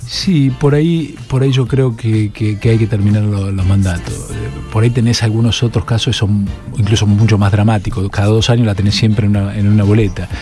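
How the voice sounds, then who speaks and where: A middle-aged man with an Argentine Spanish accent, answering interview questions as a political candidate and pausing often on fillers and restarts. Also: fairly low pitch, fairly even intonation, dark tone, faint music behind. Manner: measured, with many fillers and restarts.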